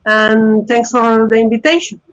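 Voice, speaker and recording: A woman from Colombia with a Colombian Spanish accent, a Spanish speaker talking halting, apologetic English on a phone as a guest in a casual chat. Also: on the high side, dull audio. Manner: halting, searching for words, apologetic.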